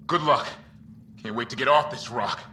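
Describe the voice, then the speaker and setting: Man as an earnest crewman, very sharp voice. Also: medium pitch, moderate pace, close to an everyday voice. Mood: weary. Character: relieved, earnest male crewman stranded after crash. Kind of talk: video game dialogue acting. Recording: distant game audio with faint noise.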